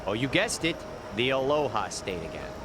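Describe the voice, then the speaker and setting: A man's voice: medium pitch, very animated, normal speed, heavy background noise, close to an everyday voice. An actor playing a cynical, wry, streetwise local man in an English-dubbed video game, chatty and given to sardonic explanations.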